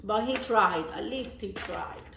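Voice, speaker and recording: A woman reading a picture book aloud to young children, pausing to explain things simply like a teacher. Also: medium pitch, vocal fry, sharp tone, slight background noise. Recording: echoey room.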